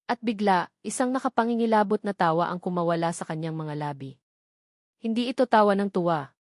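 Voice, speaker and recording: A woman's voice: medium pitch, fairly quick, dry recording, clean studio sound. A woman narrating a scripted melodramatic story in a suspenseful, emphatic manner.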